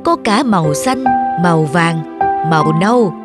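A woman's voice: fairly low pitch, animated, measured pace, over loud music. A woman voicing a kindly old male painter who patiently teaches a young man in a dubbed cartoon. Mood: gently teaching. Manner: patient, instructive.

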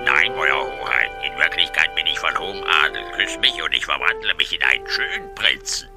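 A talking frog with an extremely low, lively voice. He pompously insists he is a prince, fairy-tale style in a children's radio play, with music behind him. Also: a little fast, gravelly, exaggerated voice. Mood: wheedling.

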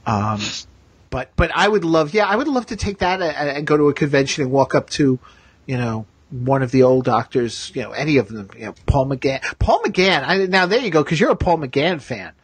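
A man co-hosting a casual pop-culture discussion with an enthusiastic, halting fan's delivery. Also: on the high side, animated, husky, dry recording. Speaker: podcast co-host.

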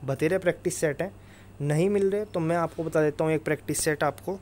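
Higher-pitched man explaining. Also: quick pace, a little mellow, slight background noise. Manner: instructive, emphatic, repeats tag phrases. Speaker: exam-prep video presenter. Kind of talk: informal explainer over screen recording.

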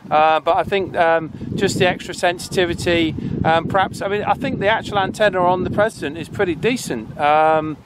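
Casual male vlogger, forties, higher-pitched voice, informal, clear outdoor background noise. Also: brisk, hardly any pauses. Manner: chatty, informal. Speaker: presenter testing handheld radios. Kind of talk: casual hands-on review. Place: open grassy field outdoors. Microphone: camera held at arm's length. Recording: noisy outdoor recording with wind.

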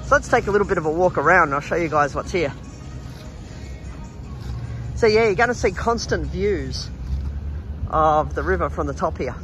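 A man's voice: fairly high pitch, lively intonation, moderate pace, long pauses, a bit bright. A man with an Australian accent giving casual, chatty, rambling narration as a travel vlogger walking outdoors. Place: outdoors in an urban riverside park. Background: background music, outdoor city sounds.

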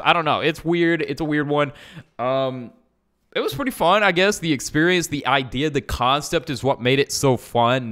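A man's voice: fairly high pitch, fairly bright tone. A young man giving casual gameplay commentary in a slangy, jokey, rambling way. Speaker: gaming YouTuber.

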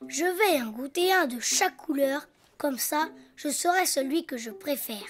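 A performer voicing a cheeky, excitable little boy donkey in a cartoon, with a childlike voice and a sing-song, self-important way of talking. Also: moderate pace. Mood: delighted. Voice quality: high, childlike. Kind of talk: cartoon voice acting. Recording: music behind the voice.

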